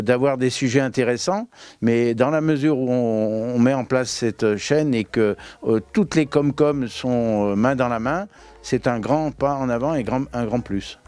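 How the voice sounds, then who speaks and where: A man speaking as a local community council president in a news interview, halting with frequent fillers and restarts. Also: medium pitch, a little mellow, smooth, dry recording. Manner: hesitant, many fillers and restarts. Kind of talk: on-camera interview statement.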